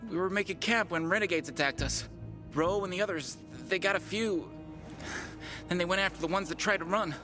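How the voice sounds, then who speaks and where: A man's voice: sits high, a little fast, lightly acted. An actor playing a wary, shaken male soldier in a video game, guarded and plain-spoken. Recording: music playing behind the voice.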